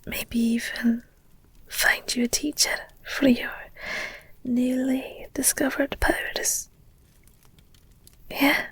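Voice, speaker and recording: A woman playing a devoted, guilt-ridden werewolf girlfriend in an intimate audio roleplay, speaking earnestly and haltingly in a breathy whisper. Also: high-pitched, flat delivery, a bit bright, no echo, heavily acted. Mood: tentative, tender hope. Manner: hesitant, halting, earnest, tender.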